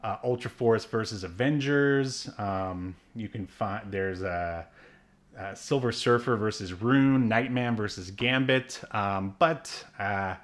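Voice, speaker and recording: A man's voice: fairly low pitch, slow. A man hosting a podcast, chatting casually and rambling with frequent fillers. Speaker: podcast host. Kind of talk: casual chat.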